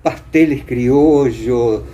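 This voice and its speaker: An older man with an Argentine accent, reminiscing as an interview guest in a halting way, often repeating words for emphasis. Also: medium pitch, dark tone. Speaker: interview guest, theater and singing teacher. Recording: clear background noise, ambient room sound.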